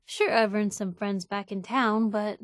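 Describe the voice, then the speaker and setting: A woman's voice: medium pitch, fairly even intonation, a little slow, dry recording, studio quality, natural voice. An actress playing a spirited, nosy young woman reporter in a video game, loyal and outspoken, talking in a wry, colloquial way. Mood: heartfelt, wistful. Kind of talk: video game companion dialogue.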